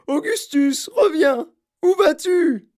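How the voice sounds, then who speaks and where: A woman voicing a frantic, overbearing mother who shrieks and pleads in a shrill voice, as a storybook reading. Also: lively intonation, a little fast. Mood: panicked. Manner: hysterical, yelling and pleading.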